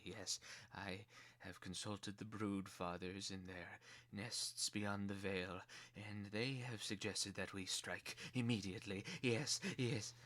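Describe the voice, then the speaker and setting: A man's voice: lowish voice, a bit bright, dry recording. A man playing a fawning, nervous rat advisor who stammers and repeats himself obsequiously in a dramatic reading. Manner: obsequious, repeats "yes, yes" hesitantly.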